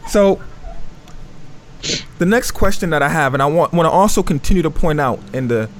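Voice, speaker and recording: A man's voice: fairly high pitch, animated, normal speed, slight background noise. A man hosting a panel talk, reflective and affirming as he sums up his guests' points. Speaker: host.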